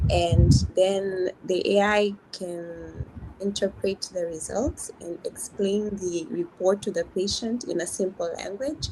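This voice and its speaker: A woman from Tanzania with a Tanzanian accent, a doctor explaining her app on a video call, often restarting words and slowing on key points. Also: on the low side, a little mellow, slight background noise, low-quality recording. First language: Swahili. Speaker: doctor pitching a health app. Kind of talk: video call mentoring session.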